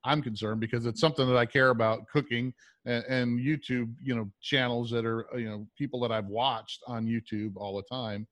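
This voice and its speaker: A man's voice: medium pitch, fairly even intonation, moderate pace, fairly bright tone, no echo. A man acting as interviewer in a casual, conversational chat.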